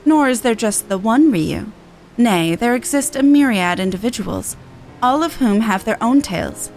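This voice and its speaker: A woman voicing a courteous, formal storyteller lady who recites old legends in a measured, archaic manner for a fantasy game. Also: a little high, a little mellow, a little hiss or hum, natural voice, rough recording.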